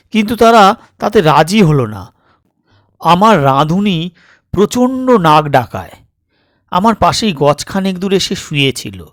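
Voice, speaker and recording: A man narrating a scripted suspense story dramatically, in measured short phrases with pauses. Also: high-pitched, no echo, studio quality. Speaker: storyteller, narrator of audio stories.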